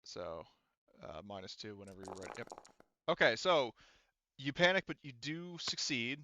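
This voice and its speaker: Bright-voiced, casually swearing male game master. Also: medium pitch, sing-song, normal speed, no room sound. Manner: informal, swears freely. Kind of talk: casual tabletop game narration and rules talk.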